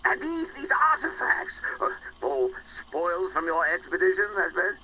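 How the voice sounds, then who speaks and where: An actor playing a jovial, bumbling upper-class English gentleman, plummy and effusive, in a period audio drama. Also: high-pitched, wildly swooping pitch, normal speed, a little hiss or hum, full character voice, rough recording. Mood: excited, admiring.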